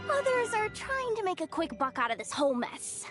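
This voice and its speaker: Tiny excitable game companion: extremely high, animated, exclamatory, over loud music. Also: female voice, brisk. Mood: flustered. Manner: exclamatory, squeaky, flustered. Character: tiny excitable childlike companion, blunt and easily alarmed.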